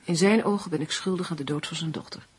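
A woman's voice: sits low, fast talker, husky. An actress playing an anxious, fretful woman under threat in a Dutch radio drama. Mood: tense, insistent. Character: anxious woman menaced by threatening anonymous letters. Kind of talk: Dutch radio play acting.

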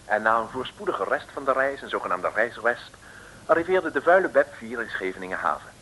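A man's voice: mid-range voice, animated, brisk, noisy background. A man narrating a comic radio drama as a wry, theatrical scripted storyteller on an old recording.

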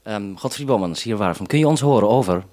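Low-voiced radio operator. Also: male voice, expressive, fast talker, a little mellow, no echo. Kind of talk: procedural radio call-up talk. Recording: faint noise and clicks, radio link.

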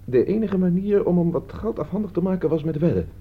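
Husky, defensive young man. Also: sits high, expressive, quick pace, exaggerated voice. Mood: explaining, wry. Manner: evasive, defensive. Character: defensive thirty-year-old idle male graduate under questioning. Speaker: actor in a radio play. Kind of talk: Dutch radio drama dialogue. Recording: dull, band-limited old radio recording.

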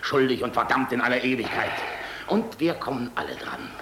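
An actor playing a defiant, world-weary middle-aged general in a German radio drama, sardonic, brooding and biting. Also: male voice, mid-range voice, wildly swooping pitch, brisk, bright, forceful tone, full character voice. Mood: haunted, brooding. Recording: clearly noisy radio drama recording.

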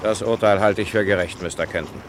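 A man's voice: lowish voice, quick pace, a little hiss or hum, natural voice. A man playing a firm, formal prosecutor in an old German radio drama.

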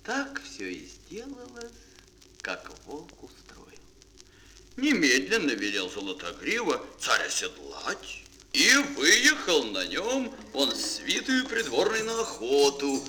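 Bright, very animated male storyteller. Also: medium pitch, takes it slowly. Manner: expressive, dramatic. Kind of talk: theatrical scripted fairy tale narration for children.

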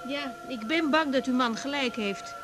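A woman's voice: high voice, moderate pace, loud music behind the voice, lightly acted. An actress playing a frank young woman doctor in a naturalistic Dutch radio drama, hesitant and informal in her speech. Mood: frank, firm. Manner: hesitant, repeating words, informal. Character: young woman doctor, frank, caring but easily thrown.

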